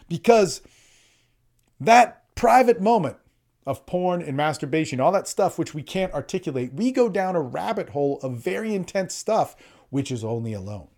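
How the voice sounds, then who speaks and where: A man's voice: a little high, darkish tone. A man giving an informal motivational coaching talk in an emphatic, blunt way, swearing freely and repeating phrases to drive points home.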